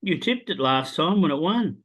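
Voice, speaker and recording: A man with an Australian accent hosting a casual horse racing tips chat, pitching his picks with lots of "um" fillers. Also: moderate pace, highs cut off. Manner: animated salesman pitch, many "um" fillers. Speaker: racing tipster host.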